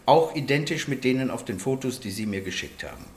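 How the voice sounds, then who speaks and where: A man's voice: medium pitch, lively intonation, fairly quick, close to an everyday voice. Actor doing matter-of-fact guy coroner in a radio play, explains everything clinically, echoey room. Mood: clinical, puzzled. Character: precise, matter-of-fact male forensic doctor. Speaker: forensic doctor. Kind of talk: German radio crime drama acting. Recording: roomy, echoing studio scene.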